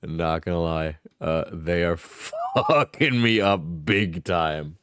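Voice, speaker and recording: A man's voice: deep voice, lively intonation, slow pace, a bit bright, smooth, dry recording, studio quality. A sleepy man livestreaming, chatting casually and rambling, laughing and swearing often. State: sleepy, just out of bed.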